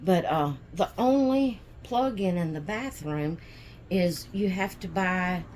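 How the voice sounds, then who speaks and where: A hoarse woman rambles through a casual home vlog at an unhurried, drawn-out pace. Her voice sits a little low, and she circles back with fillers and restarts. A faint hum of room ambience sits behind her. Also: expressive, a little mellow. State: hoarse from sneezing. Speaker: doll channel vlogger. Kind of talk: casual chat. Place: at home indoors.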